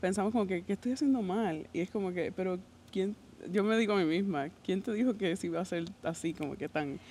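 A woman's voice: medium pitch, brisk, warm tone. A woman from Rico, co-hosting a casual podcast conversation and chiming in often. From Puerto Rico. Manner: frequent listening sounds, chatty.

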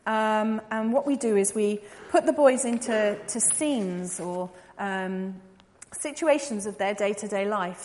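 A woman's voice: medium pitch, darkish tone, a little hiss or hum. An English woman in her forties gives an informal talk to a church audience as a missionary speaker, chatty with many ums and light laughing asides. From England. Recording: long reverb of a large hall.

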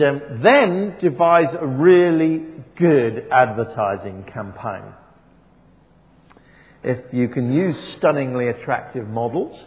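A man's voice: medium pitch, slightly rough. A man preaching a sermon over a PA microphone in a church, speaking in a wry, conversational way with many ums and restarts. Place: church hall with PA system. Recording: dull lo-fi recording in a reverberant church.

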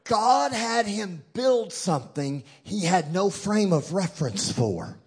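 Texan male preacher, slightly high voice, husky, punchy and playful with the crowd. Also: sing-song, slow. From Texas. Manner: emphatic, joking, call-and-response with the crowd. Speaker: guest preacher. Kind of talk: sermon.

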